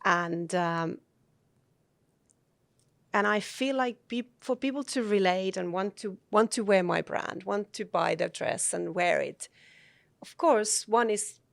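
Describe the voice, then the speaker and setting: A Finnish woman, a fashion founder, talking softly and thoughtfully as an interview guest, a native Finnish speaker. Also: medium pitch, slow pace, vocal fry, dry recording. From Finland. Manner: soft-spoken, thoughtful, frequent restarts and laughs. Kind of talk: casual interview conversation.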